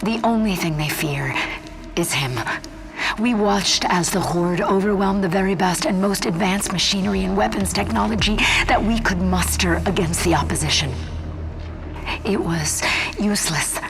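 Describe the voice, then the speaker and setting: An actress playing a grave woman scientist who records log entries in a solemn, reverent, breathy, creaky voice for a game. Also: mid-range voice, noisy background, close to an everyday voice. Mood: grim, haunted. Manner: solemn, reverent, slow and deliberate. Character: grave woman scientist turned fervent believer. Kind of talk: dramatic monologue, recorded log.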